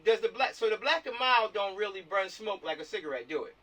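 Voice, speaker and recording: A man's voice: sits high, lively intonation, fairly quick, bright, forceful tone. An American man casually directing a planning chat over a video call, repeating himself and often checking that he is understood. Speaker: film director running a meeting. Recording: distant mic on video call.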